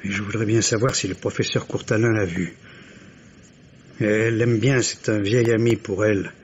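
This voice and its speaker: An actor playing a middle-aged father and husband in a French radio mystery, solicitous, measured and quietly anxious. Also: on the low side, steady tone, lightly acted. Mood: anxiously reassuring. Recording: dull, noisy vintage radio recording.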